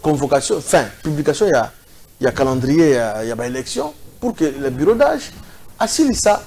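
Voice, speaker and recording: Un homme d'une quarantaine d'années avec un accent congolais, débattant sur un plateau de télévision d'un ton animé et hésitant, avec beaucoup d'hésitations. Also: male voice, a little high, fairly quick, creaky. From Équateur province, DR Congo. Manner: animated, hesitant, many fillers, gesturing. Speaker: political commentator. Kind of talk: TV debate. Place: TV studio set.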